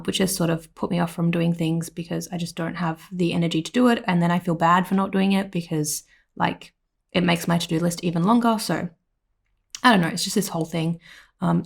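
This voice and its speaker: A woman with an Australian accent, slightly hoarse, giving a rambling voiceover at home full of "like" and "um". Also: lowish voice. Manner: rambling, with many "like" and "um" fillers. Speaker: planner vlogger. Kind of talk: casual voiceover narration.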